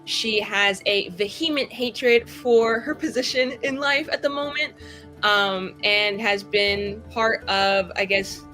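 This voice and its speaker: A woman's voice: mid-range voice, slow, pushed and bright, faint music behind. A woman introducing her tabletop game character casually and jokingly, chatty and full of slang and fillers. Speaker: tabletop roleplaying player.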